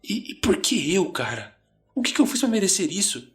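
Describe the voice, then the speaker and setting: A man's voice: a little high, lively intonation, fast, fairly bright tone, faint music behind, voice pushed up. The terrified kid in a late-night horror podcast , played by a man, stammering and complaining in a raised voice over a faint score. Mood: frantic. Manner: stammering, ranting. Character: panicked, whiny sixteen-year-old boy, frantic and exasperated. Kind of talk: horror audio story character voice.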